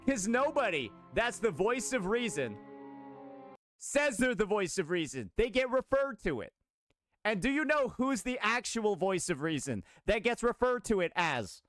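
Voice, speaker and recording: A man's voice: sits high, sing-song, unhurried, fairly bright tone, dry recording, studio quality, faint music behind. A man speaking on a stream in an emphatic, indignant way. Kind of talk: streamer talking on a clip.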